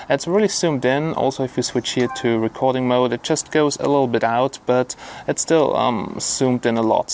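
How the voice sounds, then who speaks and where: A man with a medium-pitched voice reviews a gadget in a casual, unscripted way. He is blunt and informal, often pausing and repeating words as he works through his commentary. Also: slight background noise.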